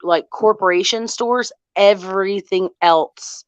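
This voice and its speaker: A woman's voice: medium pitch, slow pace, dry recording, muffled recording. A woman in her thirties from the Boston area, raised in the South, with a mild Southern accent, chatting casually and laughing often as a podcast host. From Boston area, raised in Tennessee. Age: 30s. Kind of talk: casual group chat over the internet.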